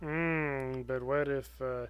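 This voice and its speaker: A man's voice: mid-range voice, fairly even intonation, takes it slowly. A man streaming a video game, giving casual, offhand, musing commentary.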